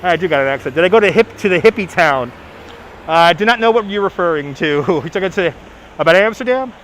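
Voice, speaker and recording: A talkative man with a New York accent, slightly high-voiced, chats with his live viewers as he walks the city. He answers them by name and laughs easily. Faint street noise hums behind him. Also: expressive, quick pace, a bit bright, rough recording. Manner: chatty, reads chat names aloud, laughs often. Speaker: livestream host. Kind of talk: casual live-stream chat while walking. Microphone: phone on gimbal.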